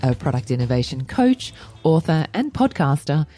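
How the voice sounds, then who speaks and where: Low-voiced Australian woman hosting. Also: big pitch swings, normal speed, mellow, soft background music. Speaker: podcast host and coach. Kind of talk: interview podcast hosting.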